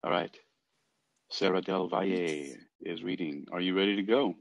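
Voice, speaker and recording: A man's voice: deep voice, moderate pace, no room sound. A man casually hosting and moderating a reading club podcast, recorded in dull, dry lo-fi audio.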